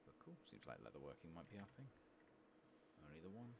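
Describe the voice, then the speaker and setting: Very deep, creaky soft-spoken man. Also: very animated, brisk, heavy background noise. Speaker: gaming ASMR video creator. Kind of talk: soft-spoken ASMR game commentary.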